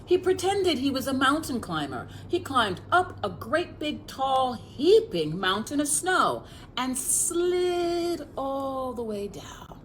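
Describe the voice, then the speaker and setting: A woman's voice: sits high, sing-song, takes it slowly, slight background noise, low-quality recording. An American woman reading a picture book aloud as a children's librarian, expressively, speaking directly to young listeners.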